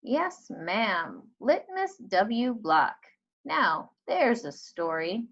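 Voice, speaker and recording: Elderly female librarian, played by a woman reader, fond dramatic storybook teller, unhurried and emphatic, dull recording. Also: medium pitch, very animated, heavily acted. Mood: reminiscing. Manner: slow, drawn-out, emphatic phrasing. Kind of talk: storybook read-aloud.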